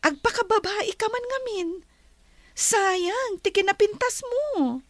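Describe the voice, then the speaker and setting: A woman with an Ilocano Filipino accent playing a gentle, wealthy bride-to-be in a radio drama. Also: very high voice, animated, slow pace, dry recording, heavily acted. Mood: warm, teasing.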